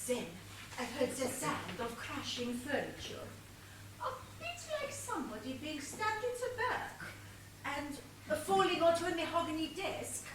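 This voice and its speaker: Woman playing a high-voiced dramatic maid, very echoey. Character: eager, dreamy female maid witness. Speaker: stage actor. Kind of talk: stage comedy murder mystery acting. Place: theatre stage, recorded from a distance. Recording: echoey distant stage recording, noisy.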